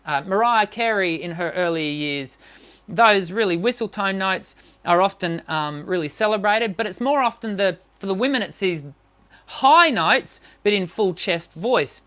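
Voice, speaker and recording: A woman's voice: on the low side, measured pace, fairly bright tone. A woman voice teacher talking through a live-stream singing lesson, chatty, with many fillers and restarts. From Western country.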